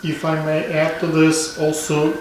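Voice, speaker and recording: A man moderating an unscripted panel discussion, halting and searching for words as he speaks. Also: a little high, monotone. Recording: very echoey room, distant mic, clear noise.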